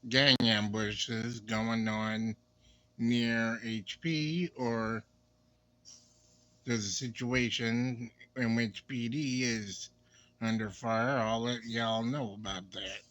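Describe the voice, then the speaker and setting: A man with a mid-pitched voice talks at an unhurried pace in a casual game voice chat. The sound is very dry, with no room around it. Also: steady tone, pushed and bright, no room sound, rough recording. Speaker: player in an online roleplay game.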